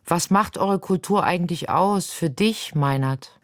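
A woman acts as moderator, putting prepared questions to guests. Her voice has a medium-low pitch and is recorded dry, without room echo. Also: unhurried.

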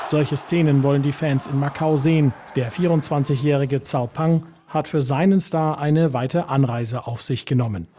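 A man's voice: fairly high pitch, dark tone, slight background noise. A man narrating a scripted radio report as a foreign correspondent.

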